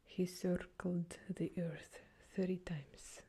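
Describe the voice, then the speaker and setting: A woman softly whispering a string of jokes as a calm ASMR reading. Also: on the low side, very slow, slightly rough. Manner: soft whisper throughout. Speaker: joke reader.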